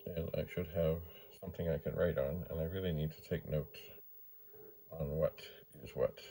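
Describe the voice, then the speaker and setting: A man's voice: a bass voice, fairly even intonation, normal speed, warm tone, a little hiss or hum. A man talking casually as he demonstrates something for a vlog, rambling along and playfully repeating his phrases. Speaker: vlogger demonstrating vintage computers.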